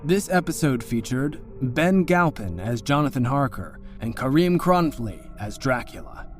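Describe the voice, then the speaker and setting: A man's voice: mid-range voice, big pitch swings, measured pace, soft background music. A man reading scripted production credits as an announcer.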